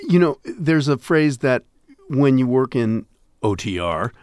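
A man's voice: mid-range voice, sing-song, a little slow, a little mellow, smooth, no echo. An enthusiastic man in his sixties chatting and explaining as an interview guest, acting out little quotes as he goes. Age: 60s. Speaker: radio show host and guest. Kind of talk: animated conversational interview. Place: dry studio.

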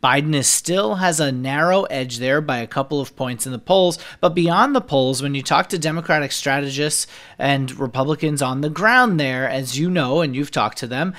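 A man's voice: a little high, normal speed, fairly bright tone. A man working as a senior political editor, explaining polls and campaign spending fluently and rattling off figures on a podcast.

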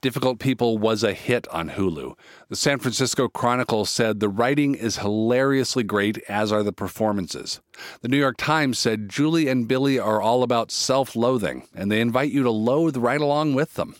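A man hosting a podcast, reading scripted narration and a sponsor message in a measured, earnest way. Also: mid-range voice, no echo, studio quality. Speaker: podcast host. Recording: podcast with music behind.

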